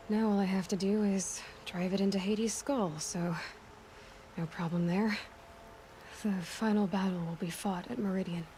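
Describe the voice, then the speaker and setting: Hushed young warrior woman, played by an actress, ambience behind. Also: on the low side, a little hiss or hum, lightly acted. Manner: hushed, breathy, halting delivery. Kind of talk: cinematic video game acting.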